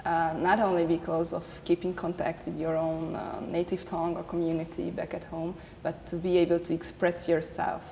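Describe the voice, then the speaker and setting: Gravelly, flat-toned woman, native Hungarian. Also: lowish voice, normal speed, few pauses. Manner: earnest, steady, with frequent fillers. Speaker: panel guest. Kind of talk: panel discussion. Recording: long hall reverb, faint noise.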